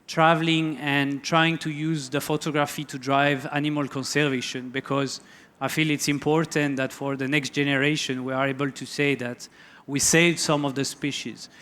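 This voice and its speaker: A man with a French accent giving a relaxed, loosely worded talk on wildlife photography to an audience, full of fillers and restarts. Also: fairly high pitch. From France. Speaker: photography lecturer. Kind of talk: conference talk.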